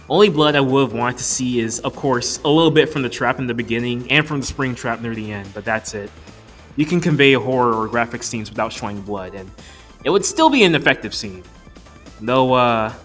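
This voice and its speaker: A man's voice: medium pitch, fairly bright tone. Male reviewer, casual and opinionated, slangy conversational commentary, music underneath. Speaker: video reviewer. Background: background music.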